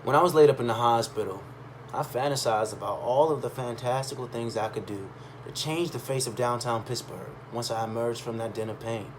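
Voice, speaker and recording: A man tells a personal story from a written text in a medium-pitched voice. His delivery is conversational and expressive, leaning on pauses and emphasis. There is faint noise behind him. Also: rough recording. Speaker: reader of a personal essay. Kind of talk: expressive first-person storytelling, read aloud.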